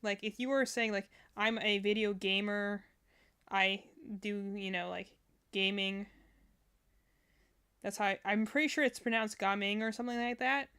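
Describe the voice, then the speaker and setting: A woman's voice: medium pitch, fairly even intonation, normal speed, bright, forceful tone, smooth. A woman hosting a casual reaction livestream, thinking aloud in a rambling way. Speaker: streamer, reaction host.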